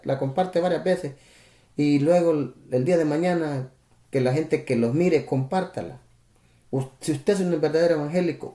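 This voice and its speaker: Salvadoran pastor preaching, warm and echoey. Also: male voice, on the high side. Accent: Salvadoran Spanish. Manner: conversational preaching with rhetorical questions and asides to listeners. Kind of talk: preaching on a live stream.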